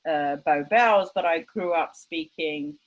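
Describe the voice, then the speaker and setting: Woman, Estuary English accent, slightly low pitch; casual, self-mocking lecturer on a video call. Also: slow. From England. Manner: chatty, self-deprecating, with many fillers. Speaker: linguistics lecturer. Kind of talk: online lecture.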